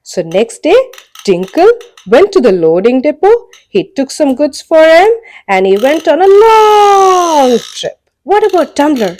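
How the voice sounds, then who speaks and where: A woman's voice: high voice, very animated, measured pace, darkish tone. A woman telling a story to children in a playful way. She makes vehicle sound effects and keeps asking the listener questions. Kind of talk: animated storytelling for kids.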